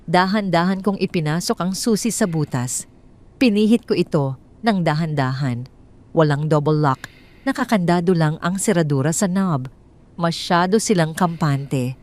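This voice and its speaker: Low-voiced woman narrating a tense drama. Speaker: storyteller. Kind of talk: scripted first-person audio drama narration.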